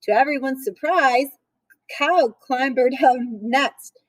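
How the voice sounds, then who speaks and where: Woman, slightly high voice, slow storybook read-aloud, playful, expressive, chatty asides, dry sound. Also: no echo. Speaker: storyteller reading a picture book aloud.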